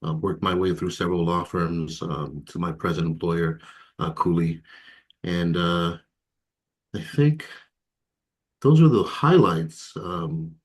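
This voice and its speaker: A man in his thirties from Ghana, telling his personal story as an interview guest, reflective and hesitant, with many fillers and soft laughs. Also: low voice, slow pace, pauses often. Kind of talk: candid personal testimony.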